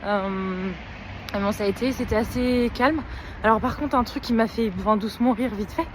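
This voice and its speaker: A woman's voice: a little high, normal speed, slight background noise. A young woman with a cold, chatting casually into her phone for a vlog, rambling and slangy with many fillers. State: sick with a blocked nose and sore throat. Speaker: vlogger. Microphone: phone held at arm's length.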